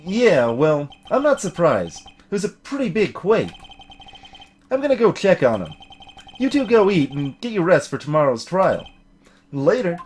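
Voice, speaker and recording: A man voicing a blunt, excitable, folksy middle-aged police detective in a video game. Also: high-pitched, unhurried, a little hiss or hum. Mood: friendly. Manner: folksy, blunt, keeps saying pal. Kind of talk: voiced video game dialogue.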